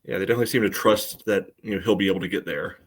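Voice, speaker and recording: A man's voice: deep voice, racing, husky. A man co-hosting a soccer podcast, casually analysing play and often padding his thoughts with "you know". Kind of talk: casual chat, sports analysis.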